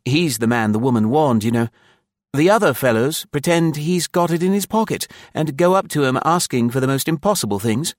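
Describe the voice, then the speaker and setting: A man voicing a naive, excitable young British army officer in an audiobook, chattering eagerly in upper-class slang. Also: mid-range voice, a little fast, no room sound, clean studio sound, voice pushed up, natural voice. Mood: eager, confiding.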